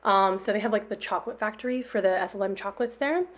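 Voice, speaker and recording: A young woman with a hoarse voice, chatting casually over her own planner video, rambling with lots of fillers and small laughs. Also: mid-range voice, quick pace. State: extra hoarse after a workout. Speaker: planner vlogger.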